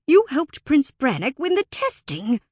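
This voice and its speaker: A woman narrator acts out a male Fae of fantasy fiction, frightened and quick to blame. He speaks with scowling, forceful indignation. The sound is dry and close. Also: mid-range voice, sing-song, dry recording. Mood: bitter, indignant. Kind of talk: audiobook character acting.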